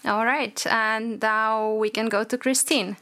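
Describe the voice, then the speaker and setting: Slightly high-voiced woman podcast host. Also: fairly even intonation, slow, bright, forceful tone. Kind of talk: hosting a panel discussion.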